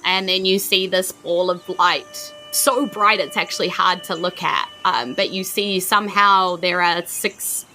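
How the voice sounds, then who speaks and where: A woman's voice: on the low side, measured pace, few pauses, pushed and bright, faint music behind, low-quality recording. A woman with a New Zealand accent, running a tabletop game and painting scenes for her players with lots of "like" and "you know". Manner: vivid, searching descriptions full of "like" and "you know". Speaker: game master.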